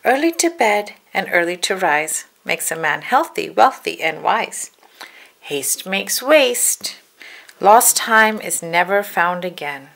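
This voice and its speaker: Creaky woman reading a storybook. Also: lowish voice, very animated, slow pace, fairly bright tone. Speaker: children's read-aloud narrator. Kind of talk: storybook narration.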